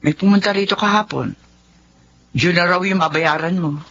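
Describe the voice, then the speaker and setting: A woman's voice: on the low side, moderate pace, a bit bright. A woman playing a worried, firm middle-aged wife and mother in a Filipino radio drama, speaking in an earnest, reproachful way. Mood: firm, disapproving. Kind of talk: Filipino radio melodrama acting. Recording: dull lo-fi audio, clear background noise.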